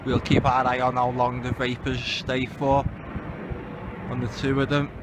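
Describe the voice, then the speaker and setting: A man's voice: mid-range voice, completely flat delivery, unhurried. Liverpudlian man vlogging outdoors, very noisy recording. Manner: sweary, rambling, conspiratorial. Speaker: host of an amateur video channel. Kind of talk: casual off-the-cuff vlog commentary. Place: outdoors in the city. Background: loud wind and vehicle noise.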